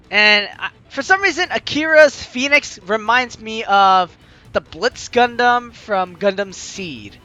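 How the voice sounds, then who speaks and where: A woman hosting a let's play, chatty and excitable, reading game narration aloud with drama and commenting as she goes. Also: medium pitch. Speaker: gaming video host. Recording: game music behind the voice. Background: game music.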